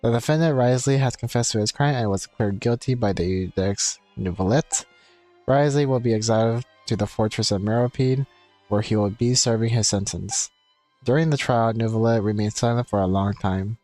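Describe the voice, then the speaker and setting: A man's voice: fairly low pitch, fairly even intonation, moderate pace, mellow. A man livestreaming a game, talking in an informal, rambling way as he chats and reads aloud. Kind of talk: casual livestream chat, reading game text aloud. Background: game music.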